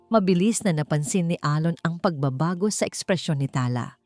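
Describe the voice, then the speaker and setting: A woman reads scripted, dramatic romance narration in a measured manner. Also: low-pitched, lively intonation, darkish tone, dry recording. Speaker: story narrator. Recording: music behind the voice. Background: background music.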